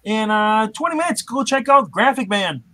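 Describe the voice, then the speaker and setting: Male co-host, high bright voice, casual livestream chat, lively intonation. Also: normal speed.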